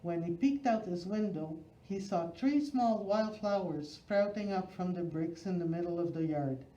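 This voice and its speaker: A woman's voice: fairly low pitch, normal speed, warm tone. A woman reads a children's picture book aloud as a storyteller, expressively, phrase by phrase with emphasis. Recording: roomy, slightly dull recording.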